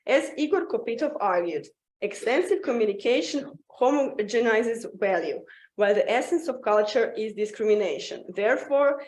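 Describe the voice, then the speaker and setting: A woman presenting a scripted academic paper at a symposium, reading aloud haltingly and often stumbling and restarting words. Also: on the high side, a little mellow, echoey room, rough recording.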